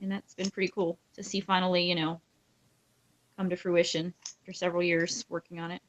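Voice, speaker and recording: A woman's voice: lowish voice, little pitch movement, a little fast, rough recording. A woman talking casually as a panelist on a podcast. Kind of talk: casual chat.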